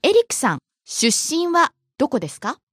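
A woman from Japan, speaking, leads a podcast language lesson. Her somewhat high voice moves in lively leaps of pitch as she gives example phrases. The sound is close and dry, with no room around it. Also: racing, no room sound. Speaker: Japanese teacher.

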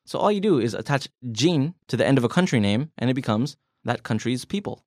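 A man's voice: medium pitch, animated, fairly quick, dry recording. A man co-hosts a podcast language lesson. He explains each point plainly, nudges his co-host with questions and drops small jokes. His voice sits close and dry on the microphone. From United States. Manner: explanatory, asks leading questions, light jokes.

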